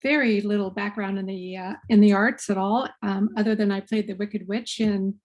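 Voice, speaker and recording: A woman's voice: mid-range voice, fairly even intonation, normal speed, darkish tone, highs cut off. A woman introducing herself to a working group in an earnest, enthusiastic way. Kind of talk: casual self-introduction.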